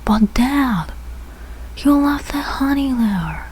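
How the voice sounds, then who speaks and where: Slow, mellow woman reading a children's storybook. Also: high voice, animated, husky, rough recording. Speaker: read-aloud reader learning English. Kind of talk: slow read-aloud of a rhyming children's storybook.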